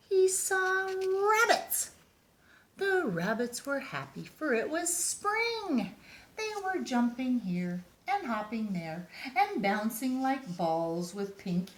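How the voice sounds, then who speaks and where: An older woman, a grandmotherly storyteller, reads to young children with expressive, drawn-out words and chatty asides. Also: fairly high pitch. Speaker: storyteller grandmother reading to kids. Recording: echoey room.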